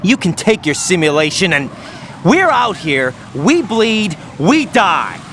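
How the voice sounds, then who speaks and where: Agitated, higher-voiced young soldier. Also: male voice, sing-song, slow, fairly bright tone, slight background noise, heavily acted. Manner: incredulous, insistent. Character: young male soldier, idealistic, questioning, agitated. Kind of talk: dramatic video game acting.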